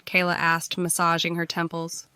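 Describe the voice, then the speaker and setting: A woman's voice: lowish voice, completely flat delivery, normal speed, sharp tone, clear, resonant tone, no echo, clean studio sound. A woman reading scripted fiction narration for an audiobook.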